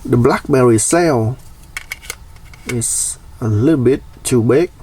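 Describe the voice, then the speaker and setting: A man's voice: mid-range voice, slow, recorded from a distance, background noise. A man presenting a casual, hands-on DIY explainer.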